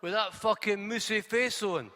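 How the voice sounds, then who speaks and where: A Scottish man playing a brash, foul-mouthed taxi driver who talks high and loud at his passenger. The voice is bright, with a long reverb. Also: normal speed. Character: coarse pushy male cabbie, talking loud.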